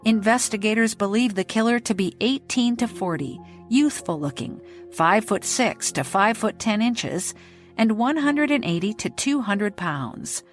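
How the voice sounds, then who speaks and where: A woman hosting a podcast, reading scripted true-crime narration in a measured, even way. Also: medium pitch, soft background music. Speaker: podcast host.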